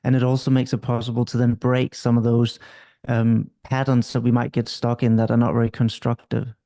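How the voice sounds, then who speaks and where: A middle-aged man from Denmark, a couples therapist explaining at length in an interview, calm and measured. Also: medium pitch.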